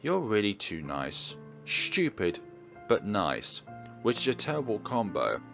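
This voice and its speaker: A man voicing a blunt, exasperated high school girl in a visual novel, with a scolding, emphatic manner. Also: very animated, a little slow, gravelly, sharp tone, faint music behind, full character voice. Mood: teasing, grudging.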